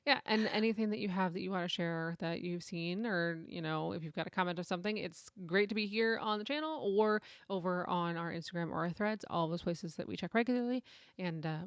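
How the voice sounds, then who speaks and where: A woman co-hosting a casual book chat podcast, chatty and quick to laugh. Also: on the low side, smooth, dry recording, clean studio sound. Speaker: podcast co-host.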